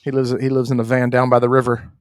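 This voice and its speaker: Mid-pitched man in casual gaming chat. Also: completely flat delivery, fast, dry recording, clean studio sound. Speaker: podcast co-host.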